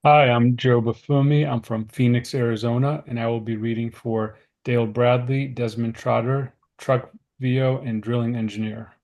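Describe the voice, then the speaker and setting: A man with a medium-pitched voice introduces himself to a group of fellow actors. He is speaking on a video call and listing his roles. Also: fairly even intonation, normal speed, few pauses, darkish tone. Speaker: actor in a table read. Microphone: computer mic on a video call.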